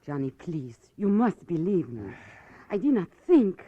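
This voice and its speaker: Pleading seductress, wildly swooping pitch. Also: female voice, sing-song, a little slow, no room sound. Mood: distraught pleading. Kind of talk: melodramatic 1950s radio drama acting. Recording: dry, dull vintage radio recording with music.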